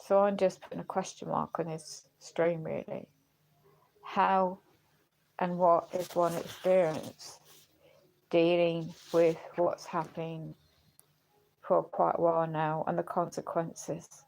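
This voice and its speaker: Slow, creaky-voiced woman with a failing voice. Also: lowish voice, little pitch movement, darkish tone, rough recording. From United Kingdom. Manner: halting, searching for words. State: voice going, unwell. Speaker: live streamer. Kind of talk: rambling personal livestream talk.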